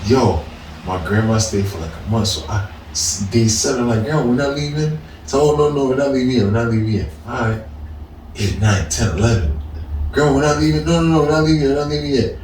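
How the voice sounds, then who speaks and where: Podcast co-host, male, lively raconteur who acts out other voices, recorded in an echoey room from off-mic with audible noise. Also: mid-range voice, brisk. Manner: animated, acts out quoted voices, laughs often. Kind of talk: casual storytelling chat. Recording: roomy, distant mic with noise.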